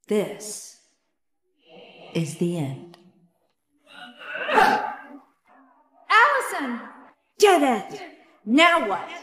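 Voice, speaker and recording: An earnest, resolute young heroine from a video game. She takes her words slowly and deliberately, with real feeling. Her voice rings out in long, hall-like reverb. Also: mid-range voice. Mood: urgent, determined.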